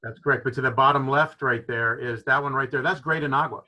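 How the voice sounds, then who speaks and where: A man's voice: medium pitch, fairly even intonation, quick pace, pushed and bright. A man from Florida who owns a fishing lodge, explaining with enthusiasm and many "you know" fillers on a video call. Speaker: fishing lodge owner, guest. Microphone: video call microphone.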